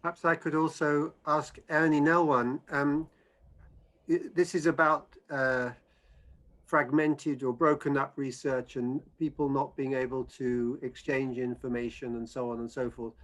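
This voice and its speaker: A man moderating an online research panel, reading out audience questions and passing them to panelists in a measured way. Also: medium pitch, little pitch movement, fairly bright tone.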